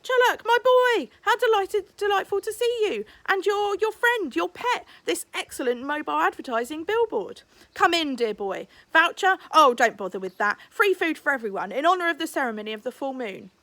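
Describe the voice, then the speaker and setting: A woman's voice: very high-pitched, lively intonation, moderate pace, fairly bright tone, no echo, pitched above the performer's own voice, heavily acted. A woman voicing an effusive older uncle, a wheeler-dealer restaurant owner with grandiose salesman patter, in a storybook reading. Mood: effusive welcome, then expansive showmanship.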